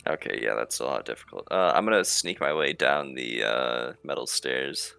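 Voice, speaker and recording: A man's voice: rumbling low, moderate pace, gravelly, sharp tone, clean tone, dry recording. A man playing a tabletop roleplaying game, chatting casually and thinking aloud in a hesitant way.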